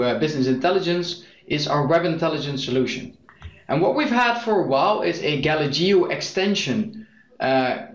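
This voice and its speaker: A young man with a Dutch accent, presenting a software webinar in an explanatory way with frequent fillers. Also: a little high. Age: young adult. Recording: echoey muffled lo-fi audio.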